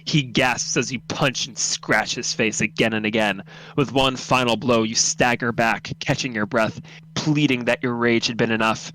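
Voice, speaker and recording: A man's voice: mid-range voice, steady tone, normal speed, a bit bright, low-quality recording. A man reading a horror story aloud as narrator, dramatic, intense and suspenseful.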